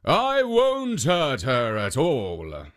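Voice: medium pitch, huge pitch swings, extremely slow, fairly bright tone, full character voice. A storyteller voicing a hairy male ogre in a folk tale, blunt and suspicious. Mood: gruff. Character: hairy man-eating male ogre, gruff.

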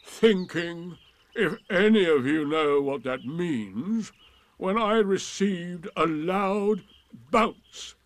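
Old donkey from a storybook, gruff, glum and sarcastic, dragging slow delivery, dry close sound. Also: male voice, sits high, expressive, no echo, pitched above the performer's own voice, heavily acted. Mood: aggrieved, sardonic. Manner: slow, drawn-out, mournful complaining. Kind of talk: storybook character reading.

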